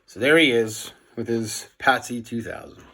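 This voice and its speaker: A man's voice: medium pitch, expressive, normal speed, vocal fry, a bit bright, slightly rough. A man narrating a children's storybook aloud, as a teacher reading for young listeners.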